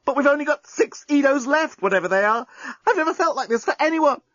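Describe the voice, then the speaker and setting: A woman's voice: high voice, animated, measured pace, fairly bright tone, dry recording, voice pushed up. A man voicing a frantic, lovestruck young woman who gushes breathlessly. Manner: breathless and gushing. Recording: slightly muffled dry recording.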